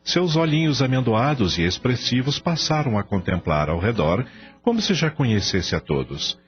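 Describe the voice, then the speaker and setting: A man with a Brazilian accent narrating a scripted radio drama in a solemn, expressive storytelling manner. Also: medium pitch, moderate pace. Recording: dull radio recording with music bed.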